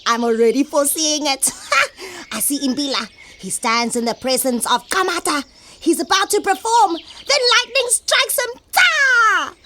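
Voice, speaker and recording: A woman's voice: high voice, expressive, unhurried, background noise. A woman playing a vain, bossy, mocking little animal in a comic audio play for children, teasing and theatrical. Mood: mocking, gleeful. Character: bossy, mocking messenger animal, vain know-it-all show-off.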